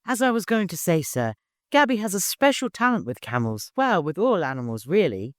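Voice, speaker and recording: Man voicing an eager, chatty camel keeper, lively pitch. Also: brisk, dry recording, clean studio sound. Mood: proud, grateful. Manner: long-winded, deferential.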